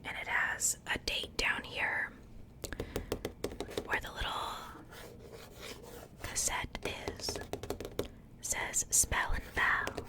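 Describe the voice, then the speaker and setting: A woman's voice: extremely slow, pauses often. Whispering woman, casual ASMR host. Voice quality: breathy unvoiced whisper. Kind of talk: ASMR, whispered unboxing commentary.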